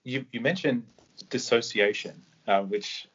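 A man's voice: medium pitch, a little slow, fairly bright tone, breathy edge, highs cut off. A man co-hosting a podcast, asking questions hesitantly with many ums and restarts. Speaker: podcast co-host. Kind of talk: interview questions.

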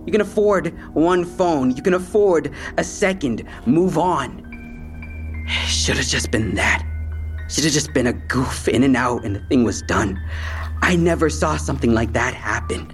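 A man's voice: mid-range voice, expressive, unhurried, close to an everyday voice. An actor in an audio drama playing a defensive young male street robber, talking in clipped slang. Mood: agitated, defensive. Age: young adult. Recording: music bed behind the voice.

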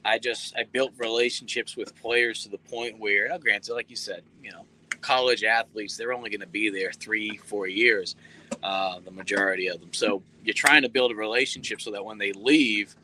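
Bright-voiced man in his thirties. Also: on the low side, slight background noise, low-quality recording. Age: 30s. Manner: earnest, rambling with fillers. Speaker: sports reporter guest. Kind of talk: casual interview talk.

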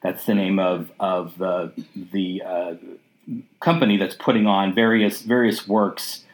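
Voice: low-pitched, level tone, a little slow, low-quality recording. A podcast host wrapping up a casual interview, enthusiastic and prone to repeating words and stumbling.

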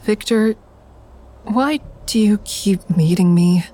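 A woman's voice: medium pitch, takes it slowly, warm tone. An actress playing a guilt-laden, wary woman in danger in an audio drama, speaking in an earnest, confiding way. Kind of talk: full-cast audio drama acting and inner narration.

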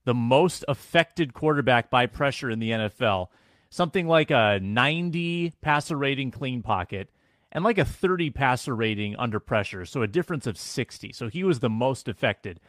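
A man, a sports talk show producer, calmly explaining statistics. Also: mid-range voice, no room sound, clean studio sound. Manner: measured, explains stats. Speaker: producer and co-host.

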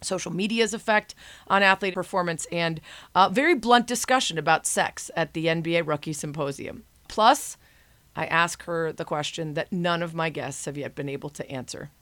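A woman's voice: fairly low pitch, moderate pace, a bit bright, no echo. A woman hosting a podcast, chatting upbeat as she reads a listener review and interviews a guest. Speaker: podcast host.

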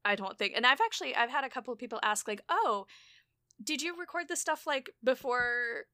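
A young woman who is hard of hearing chats easily and pokes fun at herself, often laughing as she talks. Her voice is bright and a little high. It comes through a dry home microphone close up. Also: expressive, no room sound. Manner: chatty, self-mocking, quick laughs. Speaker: podcast host and producer. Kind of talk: casual chat. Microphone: home mic over voice chat.

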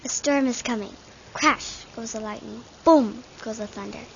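A woman reads a poem vividly in a higher, very gravelly voice. The sound is somewhat dull. Faint noise sits behind her. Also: moderate pace. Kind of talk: reading a poem aloud.

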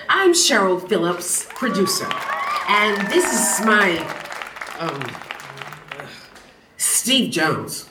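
Female Broadway co-producer: exasperated, sardonic, very animated but unhurried, heard from a distance in a roomy space. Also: medium pitch. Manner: sardonic, emphatic. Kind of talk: scripted audio comedy acting. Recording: roomy, distant, music and crowd behind.

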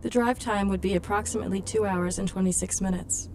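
Emotionless female car assistant played by an actress, courteous and measured, medium pitch, slight background noise. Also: steady tone, a little mellow, natural voice. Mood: neutral, polite. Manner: formal, measured, neutral. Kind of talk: scripted virtual assistant in audio drama.

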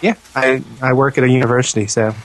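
A man's voice: mid-range voice, fairly even intonation, fast, rough recording. A man co-hosting a podcast, chatting casually with a dry, sardonic manner. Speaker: podcast co-host. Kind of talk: casual chat.

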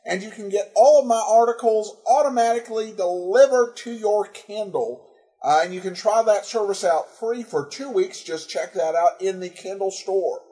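A man's voice: sits high, moderate pace, warm tone. A man with an American accent hosting a podcast, giving informal introductions and announcements. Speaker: podcast host.

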